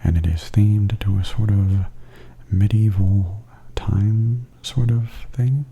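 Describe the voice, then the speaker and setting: Very soft, husky, low-voiced man whispering. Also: steady tone, takes it slowly. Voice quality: soft, breathy. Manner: hushed, half-whispered delivery. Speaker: host of ASMR walking tour videos. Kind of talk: softly spoken ASMR tour commentary.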